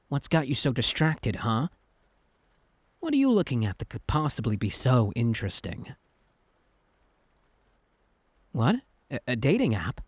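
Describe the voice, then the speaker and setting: A man's voice: medium pitch, a little mellow, husky, dry recording, exaggerated voice. A man playing a possessive, exasperated young Cupid who is secretly lovesick, in a conversational, emphatic boyfriend roleplay audio drama. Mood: teasing, curious. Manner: conversational, exasperated, emphatic, hesitant when flustered.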